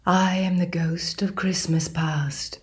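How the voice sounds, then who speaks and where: Whispery ghost spirit, low and slow, solemn. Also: female voice, fairly even intonation. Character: ghostly spirit of Christmas past, calm and solemn.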